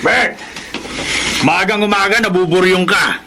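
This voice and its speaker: A man's voice: sits high, measured pace, vocal fry, very noisy. An actor in a radio drama playing a young man who is a scheming troublemaker. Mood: taunting. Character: scheming, cocky young male, chuckling troublemaker.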